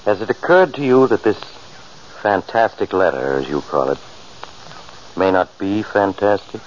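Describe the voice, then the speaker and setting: An actor playing a grave, measured middle-aged doctor in a 1940s radio drama, speaking in a solemn, deliberate, ominous way. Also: male voice, low-pitched, lively intonation. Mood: grave, pondering. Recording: muffled, hissy old radio recording.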